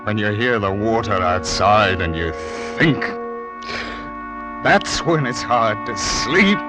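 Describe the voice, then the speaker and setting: Guilt-ridden ship's captain, low voice, very slow brooding confession, vintage radio drama, music underneath. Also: male voice, lively intonation, low-quality recording. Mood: brooding, haunted. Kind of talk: 1940s-50s radio drama acting. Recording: old radio recording with music.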